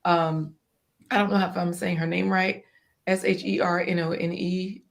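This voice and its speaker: A woman guest with a hoarse, scratchy voice, talking in a casual, upbeat and encouraging way on a livestream. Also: on the low side, steady tone, moderate pace. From Virginia.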